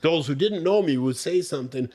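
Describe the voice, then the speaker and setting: A man's voice: a little high, animated, brisk, mellow. A man from Rhode Island speaking as an interview guest, telling stories in a casual, conversational way. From Cape Verdean, grew up in Providence, Rhode Island, USA. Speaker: interview guest, museum director.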